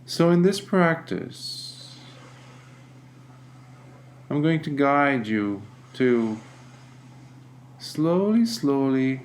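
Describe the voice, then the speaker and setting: A man leading a guided meditation as a teacher, speaking gently and deliberately with long pauses. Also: medium pitch, sing-song.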